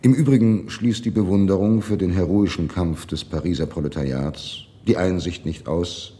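An actor playing a disdainful, doctrinaire Marxist party functionary in a radio drama, speaking formally and officiously. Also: male voice, sits low, dark tone. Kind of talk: 1970s German radio drama acting.

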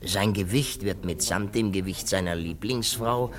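An actor in a radio play playing a polite, slightly hesitant art dealer with a taste for gossip. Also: male voice, fairly low pitch, normal speed, lightly acted. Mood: gossipy.

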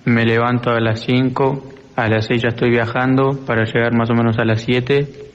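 A man's voice: medium pitch, level tone, moderate pace. An Argentine teenage boy answering informal interview questions plainly and matter-of-factly, recorded in a classroom. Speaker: student interviewee. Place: school classroom. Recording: dull, echoey classroom recording.

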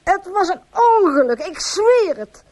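High-voiced, distraught farmer's wife. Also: female voice, very animated, a little slow, darkish tone, heavily acted. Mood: distressed, confessing. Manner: earnest, emotional storytelling. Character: distraught, pleading farmer's wife confessing all. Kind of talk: radio drama acting.

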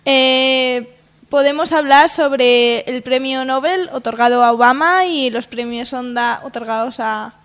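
Casting: young female comedy radio presenter, Spain accent, high pitch, informal panel chat. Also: measured pace, fairly bright tone, smooth. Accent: Spanish from Spain. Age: young adult.

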